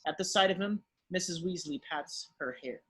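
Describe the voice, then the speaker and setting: A man with a husky voice reading stage directions as the narrator of a script table read. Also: high-pitched, moderate pace, a bit bright.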